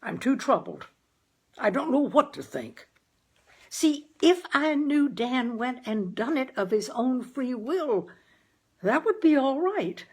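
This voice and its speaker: Older New England father, played by a woman reader: gruff, dry-witted, terse, husky storybook character. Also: high voice, big pitch swings, takes it slowly, pitched above the performer's own voice, heavily acted. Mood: troubled. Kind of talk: audiobook character dialogue.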